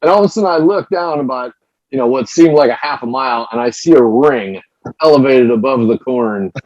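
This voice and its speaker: A man's voice: mid-range voice, a little fast, darkish tone, no echo, lo-fi sound. A man, a former pro wrestler, telling road stories in a casual interview, often repeating his first words. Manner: storytelling, repeats words when starting.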